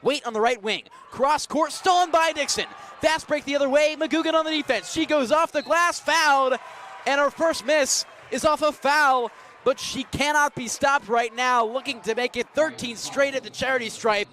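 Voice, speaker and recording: High-pitched young man calling live basketball. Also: normal speed, a little hiss or hum. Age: young adult. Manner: energetic, descriptive call of the action. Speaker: play-by-play radio announcer. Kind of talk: live basketball radio commentary. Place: college basketball arena, live courtside call.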